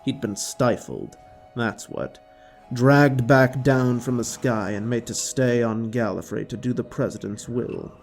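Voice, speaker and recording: A man's voice: mid-range voice, animated, unhurried, gravelly. A man reading scripted science fiction drama narration in a dramatic storytelling style. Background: music.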